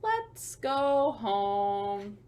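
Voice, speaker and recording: A woman hosting a children's storytime, reading picture books aloud with emphatic delivery and hushed asides. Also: medium pitch, big pitch swings, extremely slow, clean tone. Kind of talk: picture book read-aloud for young children.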